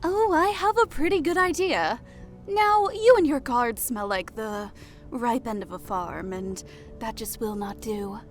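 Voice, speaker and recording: A man's voice: extremely high pitch, unhurried, soft background music, voice pushed up. A man voicing a light, playful, kindly female elf sorceress in a fantasy audio story. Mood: playful, teasing. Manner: playful, lilting.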